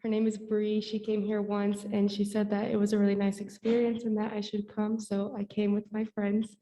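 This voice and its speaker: A young woman introduces herself casually as a visitor. Her voice is mellow and fairly flat in pitch, carried through a microphone in a reverberant church. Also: normal speed, slight background noise. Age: young adult.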